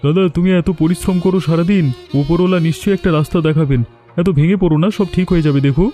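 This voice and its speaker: A loyal garage helper from an animated story, played by a man. His voice is fairly high but mellow, earnest and consoling, with quiet music behind. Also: quick pace, lightly acted. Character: loyal, encouraging young male garage helper.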